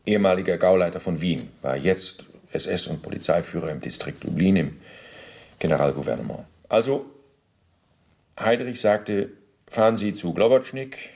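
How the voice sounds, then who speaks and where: Gravelly, officious Nazi bureaucrat under interrogation. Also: male voice, low-pitched, animated, a little mellow. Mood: halting, self-justifying. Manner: formal, bureaucratic, halting with deliberate pauses. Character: middle-aged male Nazi bureaucrat under interrogation, officious, self-justifying. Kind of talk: German radio play, testimony monologue.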